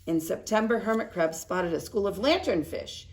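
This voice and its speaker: A woman preschool teacher reading a storybook aloud in an expressive way, with little explanatory asides to young children. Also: medium pitch, normal speed.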